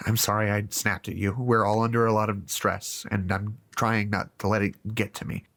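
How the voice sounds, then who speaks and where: A man's voice: lowish voice, level tone, moderate pace, creaky, a bit bright. A man in an audio drama playing a stressed, earnest researcher who tends to stammer and restart. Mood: apologetic, earnest.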